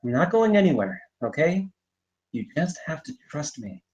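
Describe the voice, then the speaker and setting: A man's voice: on the high side, sing-song, normal speed, darkish tone, lo-fi sound. A man reading the part of a restless, evasive teenage boy, defensive and sardonic, at a screenplay table read. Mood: guarded.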